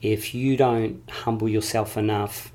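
A man's voice: on the low side, steady tone, measured pace, darkish tone. A man guest in an interview, talking earnestly and reflectively, often repeating phrases as he builds a point. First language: English.

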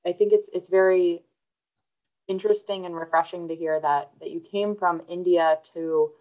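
Conduttrice con voce piuttosto grave, in tono entusiasta. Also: female voice, on the low side, fairly even intonation, normal speed, dark tone, low-quality recording. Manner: upbeat, encouraging, frequent fillers and restarts. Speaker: podcast host. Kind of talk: scripted intro then interview.

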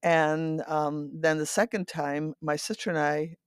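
Elderly woman reminiscing, halting and dry-recorded. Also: low voice, steady tone, clear, resonant tone, no room sound. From Netherlands. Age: 80s. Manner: rambling, halting, often repeats words and seeks agreement with "right?". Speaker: interview guest telling her life story. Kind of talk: reminiscing, conversational storytelling.

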